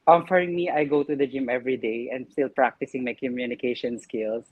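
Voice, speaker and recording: A man with a Filipino accent, a pageant candidate whose first language is Tagalog, answering earnestly with some halting. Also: mid-range voice, fairly even intonation, slightly rough, no room sound, narrow, tinny audio. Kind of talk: interview answers.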